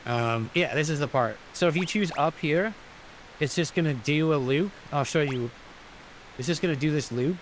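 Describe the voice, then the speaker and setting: A man's voice: on the high side, normal speed, slight background noise, poor audio. A man giving casual, rambling, explanatory commentary as he plays through a video game. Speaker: gameplay commentator. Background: game music.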